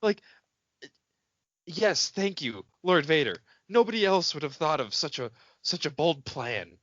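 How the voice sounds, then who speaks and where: Animated podcast co-host in a roomy recording. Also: medium pitch, measured pace. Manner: sarcastic, swearing, acts out mocking quotes. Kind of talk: casual comedic movie discussion. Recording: muffled, roomy home recording.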